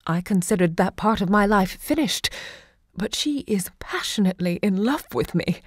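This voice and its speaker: An actress playing an elderly, unrepentant literary hostess in an audio drama, measured, poetic and intimate. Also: female voice, mid-range voice, expressive, no echo, clean studio sound, lightly acted. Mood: delighted, defiant. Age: older.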